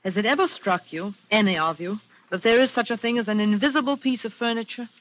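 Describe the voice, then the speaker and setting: A woman's voice: fairly high pitch, quick pace, lightly acted. An actress playing an earnest, stubborn young woman who speaks urgently in a 1940s radio drama. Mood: insistent. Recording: dull old radio recording.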